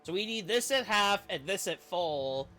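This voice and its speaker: A man's voice: sits high, expressive, a little slow, bright, forceful tone, soft background music. A man streaming a game, reading its story aloud with dramatic narration and casual commentary.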